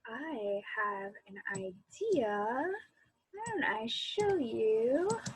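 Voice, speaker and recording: A woman reading a children's story aloud as a library storyteller, in an expressive, animated way. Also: a little high, a little hiss or hum. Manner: animated, pausing to comment on the story.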